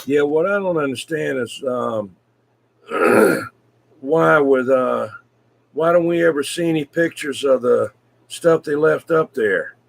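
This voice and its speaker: A man in casual CB radio chat, talking in a folksy, rambling, hesitant way with frequent pauses. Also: fairly high pitch, gravelly. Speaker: CB radio hobbyist, video maker.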